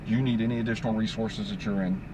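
A man's voice: fairly even intonation, racing. A man working as a fire incident commander, giving procedural, clipped instructions in radio dispatch style. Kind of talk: fireground radio dispatch talk. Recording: noisy outdoor scene, vehicle rumble.

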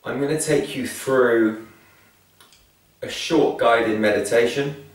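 A man leading a spoken guided meditation in a calm, soothing, measured way with long pauses between phrases. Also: mid-range voice. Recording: very echoey room, distant from mic.

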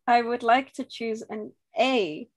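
Young woman from Thailand, Thai first language, chatty storyteller, fairly high voice, dry sound. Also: slow pace, dry recording. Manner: chatty, animated, laughing easily. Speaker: game participant. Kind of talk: casual personal storytelling.

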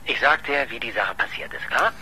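An actor in a radio crime drama playing a pushy, sardonic newspaper reporter who needles insistently. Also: male voice, medium pitch, lively intonation, brisk, pushed and bright, a little hiss or hum. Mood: theorizing, insistent.

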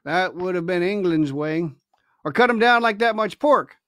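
A man's voice: high voice, lively intonation, normal speed, pushed and bright, no echo, clean studio sound. A man reading a storybook, voicing a sly, domineering pirate ship's cook with hectoring, colloquial sailor speech. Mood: cold, menacing.

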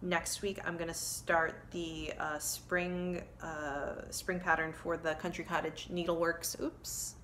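Woman, thirties, low voice, rambling casual vlog, phone mic in living room. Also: flat delivery, takes it slowly, few pauses, a bit bright, a little hiss or hum. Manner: rambling, chatty, self-correcting with many ums. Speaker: cross-stitch vlogger. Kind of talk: casual chat to camera. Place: living room with kids' toys, indoors. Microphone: phone camera at arm's length.

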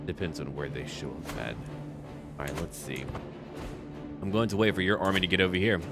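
A man's voice: low voice, fairly even intonation, brisk, over loud music. A man giving let's play commentary on a strategy game, talking to himself and addressing his characters as their ruler. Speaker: video game player and narrator.

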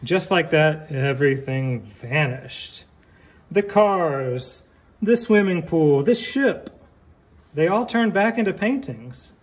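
A man reading a picture book aloud like a teacher, deliberately, with casual asides and questions for young listeners. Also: a little high, lively intonation.

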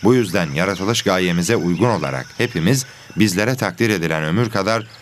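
A man playing a patient, pious teacher in a children's cartoon, measured and instructive. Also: low-pitched, slight background noise, natural voice. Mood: calm, instructive. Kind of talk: children's educational cartoon acting.

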